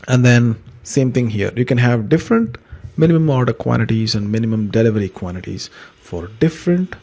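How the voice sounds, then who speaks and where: Guy walking thru software steps, kinda raspy and creaky, casual explainer tone. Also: fairly low pitch. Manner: explanatory, conversational, uses examples. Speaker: software trainer, instructor. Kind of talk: informal step-by-step screen tutorial narration.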